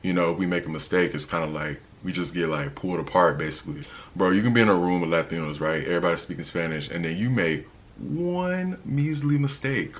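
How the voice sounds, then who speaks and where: A young man with a deep voice, talking casually in a travel vlog with a laid-back, slangy manner and lots of fillers. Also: animated, brisk. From United States. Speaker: travel vlogger.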